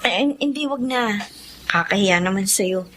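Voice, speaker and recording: A woman's voice: mid-range voice, expressive, measured pace, a bit bright, a little hiss or hum. An actress playing a steadfast, stubborn young Filipino mother who clings to her missing husband, in a radio drama. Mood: flustered, firm.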